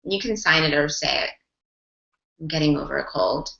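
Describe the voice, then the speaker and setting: A woman leads an online class for interpreters, encouraging them and walking through example after example. Her voice is bright and forward. It comes through a dull, echoey video call line. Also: deep voice, moderate pace, creaky. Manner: encouraging teacher, talks through examples, rephrasing and repeating. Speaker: ASL interpreting mentor. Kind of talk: online group class discussion.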